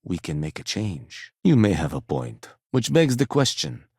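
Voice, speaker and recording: Casting: punctilious corporate office man for a video game. Low register, formal precise diction, dry condescension, close dry sound. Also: big pitch swings, a little slow, gravelly, a little mellow, breathy edge, no room sound, exaggerated voice. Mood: measured, reflective.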